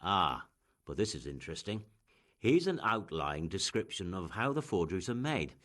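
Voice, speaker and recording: An actor playing a shrewd, learned official in a video game, musing and authoritative. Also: male voice, low-pitched, big pitch swings, moderate pace, fairly bright tone, exaggerated voice. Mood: pondering. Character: learned, shrewd male official leading an investigation.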